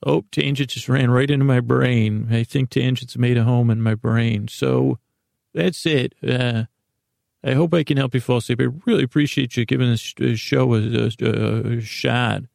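Soothing, creaky-voiced man rambling at bedtime. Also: medium pitch, fairly even intonation, darkish tone, dry recording, studio quality. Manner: meandering, halting, word-fumbling; soothing, lulling delivery. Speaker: podcast host. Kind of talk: rambling bedtime podcast monologue.

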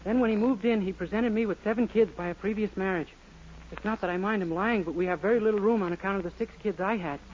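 High, boyish naive young man played by an actor. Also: fast talker, close to an everyday voice. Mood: reciting earnestly. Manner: innocent, guileless. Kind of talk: 1940s radio sitcom acting. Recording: muffled, noisy old radio recording.

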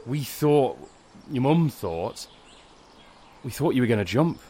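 An actor playing a burdened, middle-aged father in an audio drama, speaking earnestly and haltingly. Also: medium pitch, sing-song, mellow, a little hiss or hum. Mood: pained, confessing. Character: protective, burdened father of a teenage girl.

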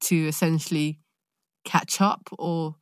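A woman with a London accent in casual conversation, telling a personal story in a candid, expressive way. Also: sits low, slow, clear, resonant tone, no room sound, clean studio sound. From born in Nigeria, raised in London. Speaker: guest, actor.

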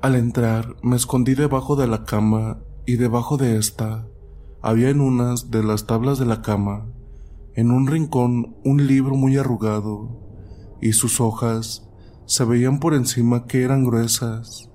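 A man's voice: mid-range voice, fairly even intonation, measured pace, warm tone. A man hosting and narrating a show of listeners' stories, reading them aloud in a storytelling style.

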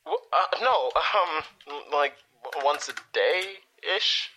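A man's voice: on the high side, sing-song, drawn-out words, pushed and bright, slight background noise, exaggerated voice. A young man acting as a nervous, doubtful superhero intern in an audio drama, hesitant and stammering. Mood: hesitant, uneasy. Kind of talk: naturalistic audio drama dialogue.

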